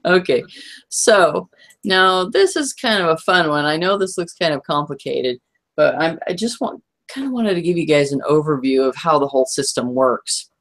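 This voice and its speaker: A hoarse woman presenting in a webinar, walking listeners through a chart and checking that they follow. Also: sits low, lively intonation, moderate pace. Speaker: guest presenter.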